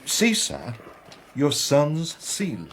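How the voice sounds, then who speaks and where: An actor playing a genial, courtly gentleman in a period radio drama, a hearty mentor who speaks formally and declaims. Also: a little high, wildly swooping pitch, drawn-out words, a little hiss or hum, full character voice. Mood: courtly, measured.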